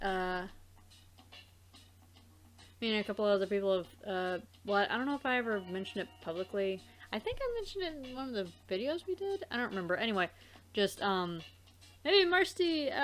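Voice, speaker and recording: A woman's voice: mid-range voice, fairly bright tone. A woman hosting a let's play, chatting casually in a rambling way that often loses its thread. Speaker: let's play host and amateur voice dubber. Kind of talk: casual rambling gameplay chat.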